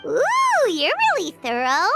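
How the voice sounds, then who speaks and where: A woman's voice: extremely high pitch, wildly swooping pitch, measured pace, exaggerated voice. A woman voicing a small, cheerful, childlike flying companion girl in an anime-style video game, eager and exclamatory. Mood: excited cheering. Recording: music under the voice.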